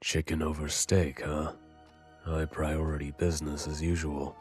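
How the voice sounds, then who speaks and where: Man acting a very deep, grim military right-hand man. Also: little pitch movement, measured pace, faint music behind. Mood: dry sarcasm. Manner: dry, sardonic. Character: gruff world-weary male military right-hand man, calm but grim. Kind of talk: anime audio drama acting.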